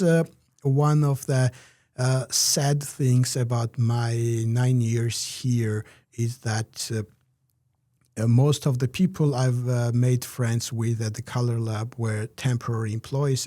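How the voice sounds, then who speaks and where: A man giving measured, reflective interview answers, pausing often with "uh" between phrases. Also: mid-range voice, mellow, no room sound, clean studio sound. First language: Georgian. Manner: measured, many "uh" pauses. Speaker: interview guest, researcher.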